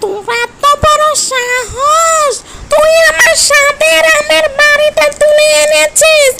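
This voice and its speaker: Woman as a cartoon ogress, very high-pitched, bossy, slow drawn-out commands, loud music behind. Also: steady tone, gravelly, exaggerated voice. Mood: furious, threatening. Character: fierce female ogress, bossy and menacing, later contrite. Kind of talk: children's cartoon voice acting.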